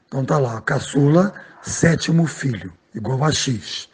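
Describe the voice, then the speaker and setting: Very husky-voiced man teaching math. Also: mid-range voice, animated, a little slow, a little mellow. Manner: patient, explanatory, frequent "né" and "ok?" checks. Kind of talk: step-by-step lesson narration.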